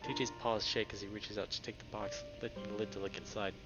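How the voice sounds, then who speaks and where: A man's voice: fairly low pitch, level tone, fast talker, over music. A man live-streaming a visual novel, reading it aloud and chatting casually with viewers. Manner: chatty, greets viewers by name. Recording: dull lo-fi microphone, faint noise.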